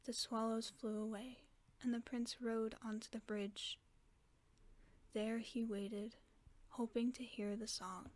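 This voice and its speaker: A woman reading a fairy tale aloud in a slow, hushed whisper, as a sleep-aid narrator. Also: a little high, little pitch movement. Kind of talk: soft whispered bedtime story reading.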